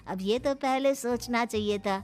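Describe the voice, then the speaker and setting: Woman acting a scheming, high-voiced gloating father. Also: darkish tone, faint music behind. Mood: taunting, threatening. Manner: taunting, threatening, drawn-out emphasis. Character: scheming, gloating middle-aged father of the bride. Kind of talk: animated moral story dialogue.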